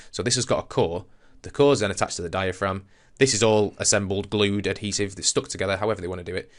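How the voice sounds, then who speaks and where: A man's voice: sits low, fairly bright tone. A man teaching a science lesson in an informal, explanatory way, talking conversationally and thinking aloud as he demonstrates.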